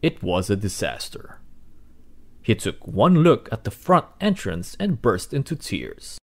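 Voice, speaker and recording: A man reading a children's storybook aloud as narrator, in an expressive, dramatic way. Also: fairly low pitch, unhurried. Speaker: read-aloud host.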